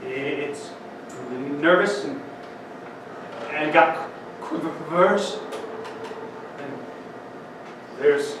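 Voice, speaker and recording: A man from Colorado performing spoken word poetry and talking to a conference audience, dramatic, slow, swinging between loud and soft and sometimes breaking into song. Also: a little high. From Denver, Colorado. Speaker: poet and speaker at a conference. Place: large conference hall. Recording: very echoey hall, from far off, noisy.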